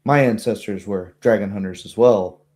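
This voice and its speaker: A man's voice: on the low side, moderate pace, a little mellow, natural voice. A man voicing a dignified baroness in a tabletop fantasy game, formal and hesitant. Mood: measured, reserved. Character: noble baroness, woman, measured, dignified, reluctant adviser.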